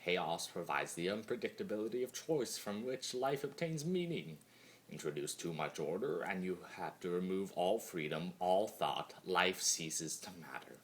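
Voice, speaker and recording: A man's voice: low-pitched, lively intonation, moderate pace, a little hiss or hum. A man voicing a bitter, mocking male spirit of chaos in a theatrical, brooding, sardonic dramatic reading of fan fiction.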